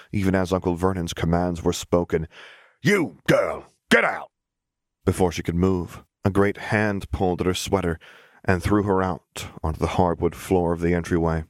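A man reading a scripted story as an audiobook narrator, measured and dramatic. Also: sits low, darkish tone, dry recording, studio quality.

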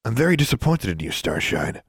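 A man playing a kindly, folksy older groundskeeper who scolds gently. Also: lowish voice, very animated, a little fast, husky, no echo, studio quality, pitched above the performer's own voice, exaggerated voice. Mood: gently scolding.

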